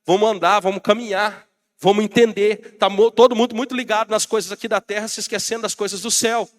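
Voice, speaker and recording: A man's voice: high voice, steady tone, quick pace. A Brazilian man preaching a sermon as pastor, rousing and calling out to his congregation, while he has a bad cold. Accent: Brazilian Portuguese.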